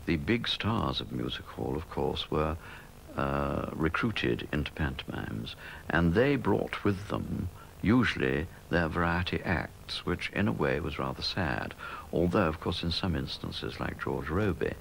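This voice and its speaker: A man giving measured, reflective comments in an interview, recorded from some distance. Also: very deep voice, sing-song, gravelly, slight background noise.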